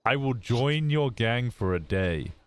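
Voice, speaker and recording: A man talking in character in a roleplay, brash and blustering, swearing as he pitches an idea. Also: expressive, unhurried, crowd noise.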